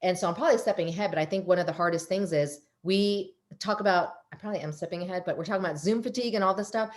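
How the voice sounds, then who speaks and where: A woman psychologist speaking animatedly on a panel over a video call, with a loud, emphatic voice. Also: on the low side, very fast. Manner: animated, emphatic, self-correcting.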